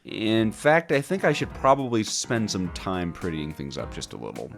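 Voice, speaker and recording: A man's voice: lowish voice, huge pitch swings, moderate pace, creaky, faint music behind. A man narrating gameplay from a script in a theatrical, playful and wry manner. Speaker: gaming video host.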